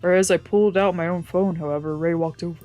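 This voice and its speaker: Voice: medium pitch, steady tone, quick pace, a little mellow. Streamer narrating a visual novel over music. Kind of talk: dramatic narration with character voices. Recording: game music behind the voice.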